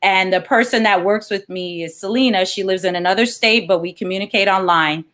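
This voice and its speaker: A woman's voice: mid-range voice, brisk, a bit bright. A woman, a children's author, reading aloud and explaining things as an interview guest.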